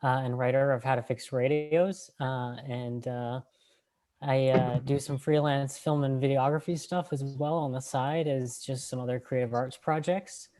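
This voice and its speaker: Hesitant teenage film director. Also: male voice, medium pitch, steady tone, normal speed, mellow. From Canada. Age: late teens. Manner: hesitant, filler-heavy. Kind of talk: casual interview answers.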